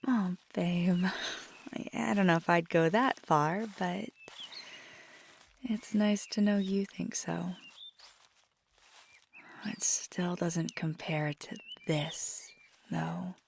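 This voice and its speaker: Woman acting as feisty magical girlfriend, intimate ASMR roleplay, lowish creaky voice, unhurried, tender and teasing, soft whispery delivery. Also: a little hiss or hum. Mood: warm, affectionate. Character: feisty affectionate magical girlfriend, young woman, outdoorsy and sweary.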